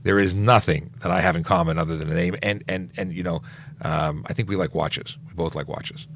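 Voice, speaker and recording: A man's voice: low voice, steady tone, brisk, creaky, a bit bright, slight background noise. A middle-aged man, a police officer, answering viewer questions on a casual livestream, stopping to restart his sentences and filling gaps with "uh" as he goes.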